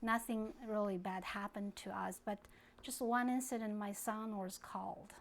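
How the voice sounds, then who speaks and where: A woman from China, speaking English as a later language, answering interview questions in a halting way with many restarts. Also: mid-range voice, fairly even intonation, creaky. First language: Kazakh. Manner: halting, searching for words, frequent restarts. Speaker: guest activist.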